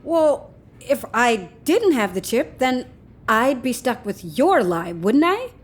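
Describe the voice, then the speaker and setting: A woman's voice: on the high side, sing-song, slow pace, heavily acted. A woman playing a flippant, unrepentant lover in an audio drama, with a breezy, casual, needling manner. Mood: defensive, flippant. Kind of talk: naturalistic audio drama acting.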